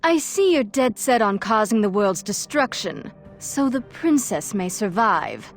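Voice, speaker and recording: A woman's voice: mid-range voice, very animated, measured pace, faint music behind. A woman voicing a stern, self-righteous female antagonist in a fantasy video game, formal and accusatory. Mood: stern, accusing. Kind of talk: video game cutscene acting.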